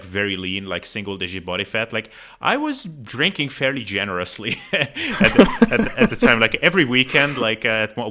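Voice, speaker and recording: A man's voice: fairly low pitch, bright, forceful tone, a little hiss or hum. A man hosting a casual interview in an informal, chatty way full of filler words. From Hungary. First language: Hungarian. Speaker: podcast host.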